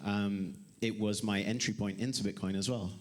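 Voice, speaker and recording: A man's voice: fairly low pitch, steady tone, normal speed. A man on a conference panel talking in a chatty, conversational way with casual asides. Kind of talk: panel discussion.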